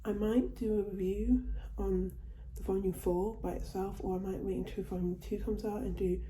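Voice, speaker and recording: Dark-voiced woman chatting in a haul vlog. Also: mid-range voice, fairly even intonation, normal speed, roomy sound, a little hiss or hum, low-quality recording. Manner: chatty, repeats and restarts phrases. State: recovering from a cold. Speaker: vlogger. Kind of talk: casual haul video. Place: at home, indoors.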